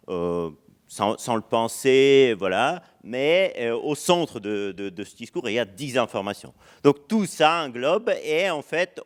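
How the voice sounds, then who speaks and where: A man's voice: medium pitch, smooth. Hesitant male lecturer, not a native speaker. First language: not French. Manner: hesitant, many "euh" fillers and restarts. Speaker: university lecturer. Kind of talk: conference lecture. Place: lecture hall.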